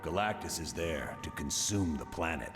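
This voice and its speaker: An actor playing a gruff, commanding colonel who briefs a team of heroes in a blunt, authoritative way in a video game. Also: male voice, extremely low pitch, animated, normal speed, in a large hall, over music. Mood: stern briefing. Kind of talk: video game cutscene voice acting.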